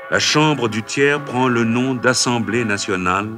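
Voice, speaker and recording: An actor as the solemn, declamatory narrator of a historical cartoon, reading scripted narration. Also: male voice, mid-range voice, unhurried, close to an everyday voice. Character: grave omniscient narrator of French revolutionary history. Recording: music behind the voice.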